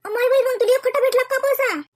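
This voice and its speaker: A woman voicing gossipy, excitable village housewives in a comedy cartoon, exclaiming and teasing in rustic Varhadi Marathi. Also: extremely high pitch, quick pace. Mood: astonished, mocking. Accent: Varhadi Marathi from Vidarbha. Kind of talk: colloquial comic cartoon dialogue.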